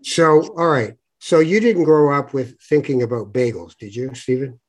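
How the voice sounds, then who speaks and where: A man's voice: medium pitch, animated, moderate pace, darkish tone, a little hiss or hum. A man from Toronto hosting a podcast interview, chatty, with fillers and restarts. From Toronto, Canada. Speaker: podcast host. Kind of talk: conversational interview.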